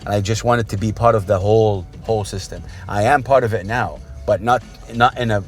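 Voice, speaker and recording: Rambling Saudi-born man, low voice. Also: fairly quick. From Saudi Arabia. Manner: rambling, warm, restarts often. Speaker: podcast host. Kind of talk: casual interview in a car. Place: inside a car. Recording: car interior with music behind.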